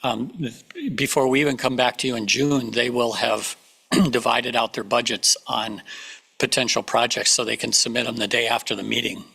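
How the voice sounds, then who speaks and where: A man official, hoarse from a cold, explaining budget timelines to a council in a measured way with frequent restarts. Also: medium pitch, steady tone, bright, forceful tone. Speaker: government official advising the council. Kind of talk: public meeting remarks. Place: meeting room.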